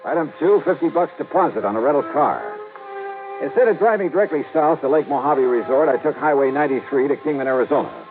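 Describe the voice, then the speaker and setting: Wry hard-boiled male detective narrator, lively delivery, tinny vintage radio. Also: on the high side, fast, over music. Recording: tinny old radio broadcast.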